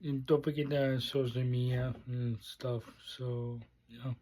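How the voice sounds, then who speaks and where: A man hosting a casual unboxing vlog, speaking in halting, short fragmented phrases. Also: mid-range voice, flat delivery, mellow. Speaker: unboxing video host.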